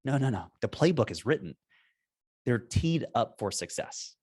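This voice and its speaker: A man, a company founder, talking in a casual business interview over a video call. He is energetic and emphatic and keeps checking in with "right?". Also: medium pitch, moderate pace, slightly rough. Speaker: software company founder, guest.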